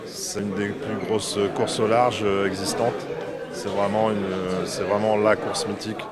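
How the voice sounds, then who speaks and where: Low-voiced skipper, crowd around. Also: male voice, flat delivery, unhurried. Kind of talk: press interview. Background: crowd chatter.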